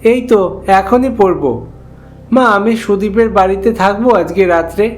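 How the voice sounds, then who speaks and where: A man's voice: sits high, moderate pace, faint music behind, close to an everyday voice. A man voicing a timid, wheedling village schoolboy in a cartoon story, hesitant and pleading.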